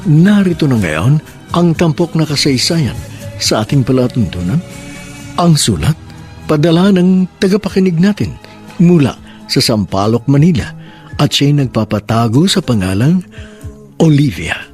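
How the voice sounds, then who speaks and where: A man's voice: a little high, sing-song, a little slow, pauses often, darkish tone, soft background music. A man hosting a radio drama program, reading poetic lines and a listener's letter in a solemn narrating style.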